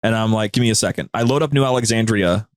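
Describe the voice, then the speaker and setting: A man's voice: on the low side, fast. A man talking casually as a podcast guest, explaining things in an enthusiastic storytelling way. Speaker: podcast guest and co-host of a media group's show. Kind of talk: casual interview talk.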